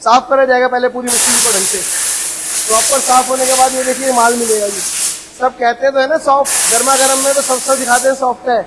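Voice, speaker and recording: Man, factory owner, high and monotone sales patter, noisy shop floor. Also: a little fast. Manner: eager salesman, keeps inviting and promising quality. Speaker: footwear factory owner giving a tour. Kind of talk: sales pitch factory tour. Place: footwear factory floor, indoors. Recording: noisy factory machinery behind.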